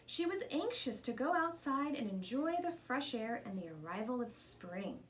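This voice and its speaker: A woman narrates a children's story with very lively intonation. Her voice is on the higher side, with faint noise in the recording. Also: normal speed, low-quality recording. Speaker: storybook reader. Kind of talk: expressive children's read-aloud narration.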